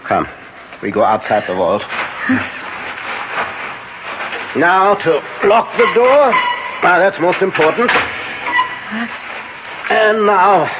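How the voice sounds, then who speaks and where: An actor playing a grave, commanding older professor in a 1970s radio drama, speaking formally and deliberately. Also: male voice, high voice, very animated, exaggerated voice. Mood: solemn, urgent. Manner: deliberate, authoritative, formal phrasing. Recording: dull, noisy 1970s radio broadcast.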